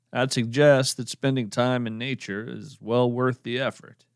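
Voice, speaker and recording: A man hosting a podcast with scripted narration in a measured, deadpan manner. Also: medium pitch, no echo, studio quality. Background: plucked string music.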